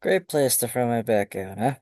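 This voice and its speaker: A man's voice: mid-range voice, animated, moderate pace, mellow, dry recording, natural voice. Loyal, understated friend, male actor, short terse lines, mid register, dry close recording. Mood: wry. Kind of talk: table read acting.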